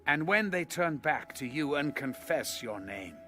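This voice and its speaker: A man narrating scripture from a script in a measured, solemn manner. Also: on the high side, very animated. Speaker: audio Bible narrator. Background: music.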